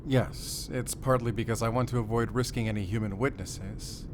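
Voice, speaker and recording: A man playing a battle-worn, calm and wry werewolf hunter in an audio roleplay, speaking deliberately in short, paused phrases with quiet tenderness. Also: mid-range voice, background noise, close to an everyday voice. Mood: grave, resolute. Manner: measured, deliberate, pausing between short phrases. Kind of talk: cinematic audio roleplay acting.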